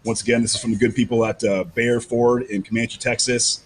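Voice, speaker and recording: A man's voice: medium pitch, flat delivery, a little fast. A man hosting a casual recorded segment in a car dealership shop, addressing a group like an on-site reporter.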